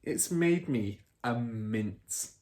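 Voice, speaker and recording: A man voicing a boastful, bullying car-dealer father in a storybook, loud and scornful. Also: mid-range voice, expressive, drawn-out words.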